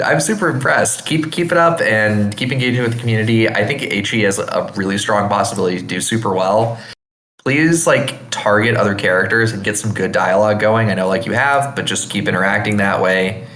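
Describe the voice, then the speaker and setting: Male podcast co-host, lowish voice, effusive, slangy, profane, casual delivery, echoey room sound. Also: a bit bright, slight background noise. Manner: effusive, slangy, frequent swearing. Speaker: podcast co-host reviewing game characters. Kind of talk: casual chat. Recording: roomy, echoey voice recording.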